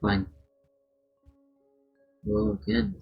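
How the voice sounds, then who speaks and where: A man's voice: high-pitched, very animated, drawn-out words, vocal fry. A man live-streaming a video game, reacting casually in short exclamations. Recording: dull, muffled audio with game music. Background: game music.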